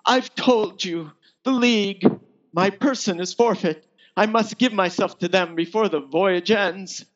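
A man's voice: high-pitched, unhurried, dull audio, pitched above the performer's own voice. A man voicing an amoral female spy posing as a countess, arch, sardonic and melodramatic, in a first-person space opera audiobook. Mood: feigned anguish.

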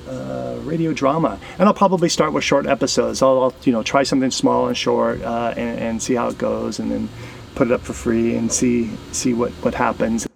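A man hosting a podcast in a casual, conversational style, with halting phrasing that often repeats words. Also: medium pitch, creaky, a little hiss or hum, poor audio. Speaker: podcast host. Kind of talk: casual interview and podcast narration.

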